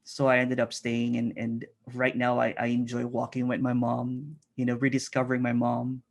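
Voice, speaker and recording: Guest spot: middle-aged man from the Philippines, gravelly, halting, restarts a lot, video call. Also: medium pitch, monotone. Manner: halting, many restarts and fillers. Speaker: interview guest. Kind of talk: reflective casual conversation.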